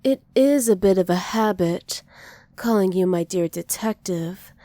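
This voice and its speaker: A dominant, possessive villainess played by a woman in an ASMR roleplay. Her voice is dark and mellow at a middling pitch, recorded very dry. She speaks with soft, teasing menace, doting on her captive while making clear she is in charge. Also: measured pace, no echo, lightly acted. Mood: commanding, possessive. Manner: soft, teasing menace with breathy whispered endearments.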